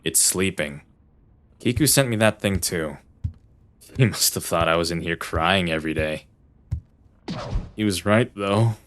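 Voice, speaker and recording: A man playing a gloomy, reclusive young private detective in an anime dub, lethargic and deadpan. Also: sits low, measured pace, long pauses. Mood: dry, weary.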